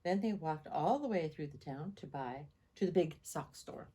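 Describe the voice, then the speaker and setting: A woman reading a picture book aloud to children in an expressive, animated storytelling style. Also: deep voice, moderate pace, slightly rough. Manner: animated, repeats sound words.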